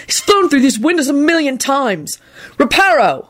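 A woman voicing an excitable, stubborn young man in love in an audio story, blurting his words out emphatically. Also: medium pitch, fairly quick, voice pushed up. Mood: angry yelling.

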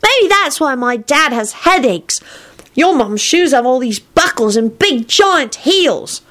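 A woman reading aloud, voicing an excitable young boy with an eager, whiny way of talking. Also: high voice, slow pace, voice pushed up, exaggerated voice.

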